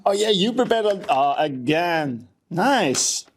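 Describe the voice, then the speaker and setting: A man co-hosting a podcast in casual, unscripted banter, jokey and agreeable, quick to react. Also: high voice, big pitch swings, a little hiss or hum. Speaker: podcast co-host.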